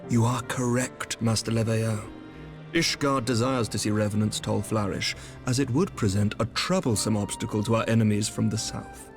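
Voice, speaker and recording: An actor playing a composed, courtly knight commander in a fantasy game, speaking formal, measured archaic English. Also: male voice, lowish voice, steady tone, over music, natural voice. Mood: firm, proud. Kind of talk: scripted fantasy game dialogue.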